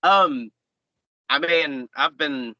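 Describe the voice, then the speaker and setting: Bright-voiced young man, rambling. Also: fairly high pitch, very slow, dry recording, lo-fi sound. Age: 20s. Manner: easygoing, rambling with fillers and restarts. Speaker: professional wrestler guest. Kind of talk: casual interview chat.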